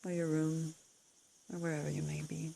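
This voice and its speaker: A woman leading a guided meditation, giving calm, unscripted instructions in a soothing, breathy way with gentle pauses. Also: low voice, slight background noise. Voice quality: breathy, husky, creaky.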